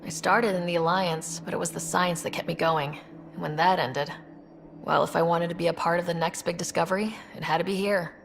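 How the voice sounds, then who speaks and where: Friendly young game heroine, lowish fry, teasing, echoey, bit of noise. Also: female voice, fairly even intonation, brisk. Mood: sincere. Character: easygoing, sincere young female expedition leader. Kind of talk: video game cutscene acting.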